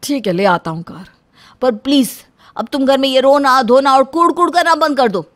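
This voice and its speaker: Woman voicing an exasperated young husband, high voice. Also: expressive, moderate pace, fairly bright tone. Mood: resigned. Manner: weary, protesting.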